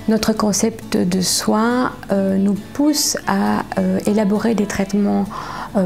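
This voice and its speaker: A woman with a soft, mellow voice that often dips into creak. She explains things formally and in a measured way, as in an institutional presentation, over background music. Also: mid-range voice. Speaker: hospital staff representative. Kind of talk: corporate presentation interview.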